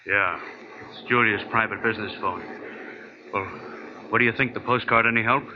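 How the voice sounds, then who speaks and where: Man playing low-voiced American henchman, tough and plain-spoken. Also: steady tone, normal speed, close to an everyday voice. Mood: guarded. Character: gruff male henchman, defensive, secretly soft-hearted. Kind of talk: 1940s radio drama acting. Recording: dull, noisy old radio recording.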